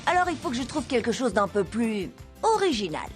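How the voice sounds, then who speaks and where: A woman's voice: a little high, sing-song, fairly quick, music in the background. A woman voicing an eager, scheming cartoon schoolboy who chats expressively and confides in the viewer. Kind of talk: French animated series dub, narrating to viewer.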